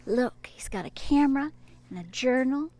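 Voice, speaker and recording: A woman teacher reading a picture book aloud and chatting to young children in an expressive, sing-song manner, with hushed asides. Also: sits high, moderate pace.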